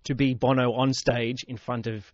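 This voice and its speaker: Aussie bloke reviewing movies on radio, mid pitched, close dry mic, slightly dull sound. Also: male voice, flat delivery, normal speed, no echo. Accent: Australian. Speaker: film critic guest on radio. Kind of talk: conversational film review. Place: radio studio. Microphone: close studio microphone.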